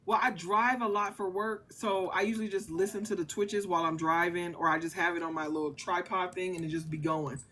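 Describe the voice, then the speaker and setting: A woman's voice: lowish voice, steady tone, a little fast, hardly any pauses, a bit bright. A woman in her thirties, a guest in an interview, talking at length in an earnest, rambling way. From Louisiana family. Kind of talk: casual interview chat.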